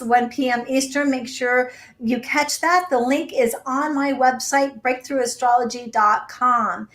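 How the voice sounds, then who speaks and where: A high-pitched woman hosting a livestream in an echoey room. She talks in a loose, chatty way with plenty of ums and answers viewer comments. Her voice is wearing thin after a long broadcast. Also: fairly bright tone. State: losing her voice. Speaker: astrology livestream host. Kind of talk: casual livestream talk.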